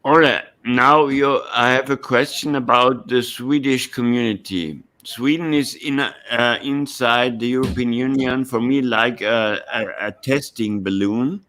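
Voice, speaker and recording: A male interviewer, asking questions in halting English and searching for words. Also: mid-range voice, fairly bright tone.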